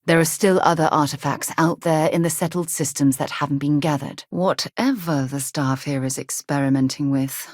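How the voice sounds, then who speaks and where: A woman's voice: low voice, fairly even intonation, moderate pace, hardly any pauses, a little mellow, no echo, clean studio sound. A woman playing a thoughtful, principled space explorer in a video game, speaking in an articulate, earnest and composed way. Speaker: video game companion character.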